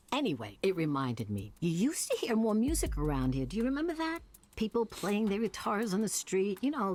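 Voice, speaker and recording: A woman's voice: sits low, darkish tone, husky, heavily acted. A woman playing a chatty, lonely, scatterbrained older lady who rambles wistfully in a visual novel. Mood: musing. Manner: rambling, folksy, wistful storytelling. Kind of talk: naturalistic visual novel voice acting.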